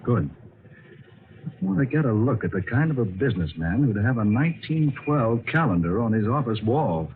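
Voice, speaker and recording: Lively young boyfriend, old-time radio. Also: male voice, mid-range voice, normal speed. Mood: curious. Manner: breezy, exclamatory, inquisitive. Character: eager, boyish, inquisitive young boyfriend. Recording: tinny, noisy vintage radio recording.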